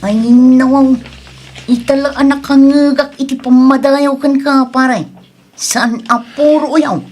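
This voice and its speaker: A woman's voice: high voice, fairly even intonation, takes it slowly, darkish tone. A woman with an Ilocano Filipino accent playing a teasing, exclaiming villager in a radio comedy drama.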